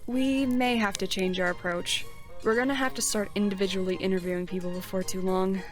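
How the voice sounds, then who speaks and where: A self-assured party leader, acted by a woman, speaks at a medium pitch. She is practical and matter-of-fact with a light teasing edge, in a fantasy audio drama with faint music. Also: fairly even intonation, fairly quick, natural voice. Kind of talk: audio roleplay drama acting.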